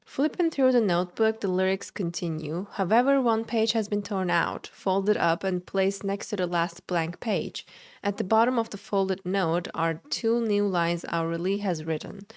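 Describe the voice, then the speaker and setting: A woman's voice: medium pitch, a little mellow. A woman livestreaming a video game, commenting and reading the in-game text aloud. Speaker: game streamer.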